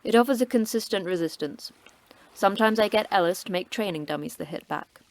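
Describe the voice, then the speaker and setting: An actress playing a terse, cryptic station manager who trains in martial arts, speaking in a curt, dry, sardonic way. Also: female voice, medium pitch, a little fast, lightly acted. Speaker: station manager of a secret facility.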